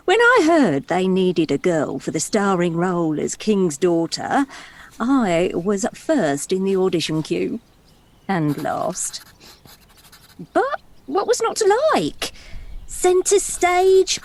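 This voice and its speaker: A high, swooping, theatrical damsel. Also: female voice, exaggerated voice. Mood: gleeful, dreamy. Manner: dramatic, gossipy, confiding. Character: cheeky, theatrical young woman, a starry-eyed would-be damsel. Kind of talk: stage play storytelling monologue.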